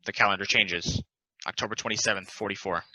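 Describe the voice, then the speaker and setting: A man narrating stage directions at a play table read in a plain, deliberate, announcing manner. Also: lowish voice, fairly even intonation, pushed and bright, no echo, rough recording.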